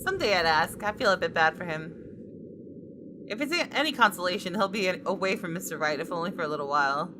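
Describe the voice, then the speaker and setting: A woman's voice: lowish voice, expressive, quick pace, background noise, natural voice. A woman voicing a wry, observant female designer who narrates a visual novel in a dry, reflective manner. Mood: exasperated.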